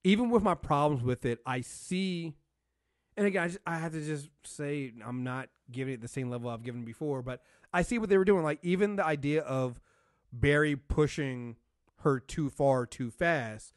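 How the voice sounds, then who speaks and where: A man's voice: on the high side, moderate pace, no room sound, studio quality. A man hosting a podcast, chatting casually and giving opinions emphatically, often circling back to repeat his phrases. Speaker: podcast host reviewing a TV show.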